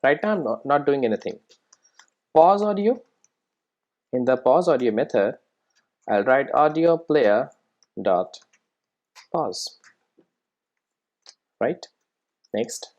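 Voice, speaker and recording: A software tutorial presenter explaining things step by step in an informal way, often checking in with the listener. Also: medium pitch, sing-song, takes it slowly, pauses often.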